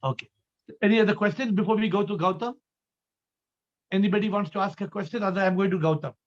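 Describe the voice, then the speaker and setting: A man's voice: high-pitched, quick pace. A man with an Indian accent, a spiritual teacher answering questions in an emphatic, didactic way, often repeating phrases and posing rhetorical questions. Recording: dull lo-fi online call audio.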